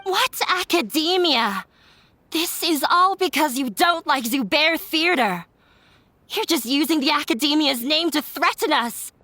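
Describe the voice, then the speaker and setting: A woman's voice: high voice, lively intonation, measured pace, bright, forceful tone, dry recording, full character voice. A woman voicing a shy but defiant young woman in a game.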